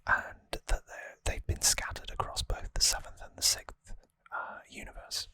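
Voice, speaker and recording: An ASMR host speaking entirely in a breathy whisper close to the microphone, softly and informally explaining a story recap. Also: moderate pace, dry recording. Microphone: close microphone, dry sound.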